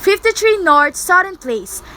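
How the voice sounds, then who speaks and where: A woman's voice: extremely high pitch, very animated, a little slow, bright, forceful tone, slight background noise, heavily acted, rough recording. A young woman playing an anxious, demanding invalid wife in an amateur radio play, fretful and insistent. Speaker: student performer in a school radio play.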